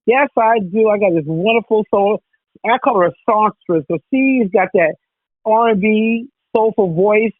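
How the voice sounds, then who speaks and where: A woman's voice: medium pitch, measured pace, slightly rough, dry recording. A woman hosting a radio interview, chatty and full of "you know". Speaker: radio host. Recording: tinny phone line.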